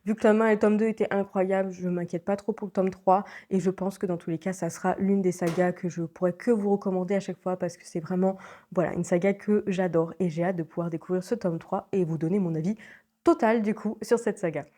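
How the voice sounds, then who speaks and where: A young French woman talks to camera from her home with a congested voice from a cold. Her tone is mellow and dark rather than bright, and she rambles cheerfully, with frequent fillers and little laughs. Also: on the low side, fairly even intonation, fairly quick. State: stuffy nose from a cold. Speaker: book vlogger. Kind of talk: casual chat to camera. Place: at home, indoors.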